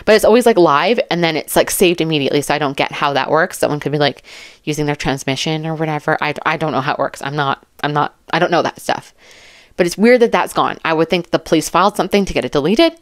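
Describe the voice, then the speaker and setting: A woman's voice: low-pitched, quick pace, no room sound, studio quality. A woman giving casual true crime commentary, chatty and rambling, with an emphatic, informal way of talking.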